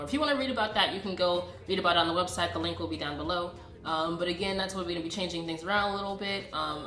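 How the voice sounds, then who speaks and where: A woman in her late twenties with a congested voice from a cold, chatting casually and familiarly to her viewers as a vlogger. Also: lowish voice. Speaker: YouTube vlogger. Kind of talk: casual direct-to-viewer channel update. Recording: roomy echo, background music. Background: music.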